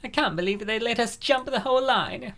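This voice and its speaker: A woman voicing a reserved, sardonic young cat-girl in a comic dub, dry and measured. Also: on the high side, expressive, a bit bright. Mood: hesitant.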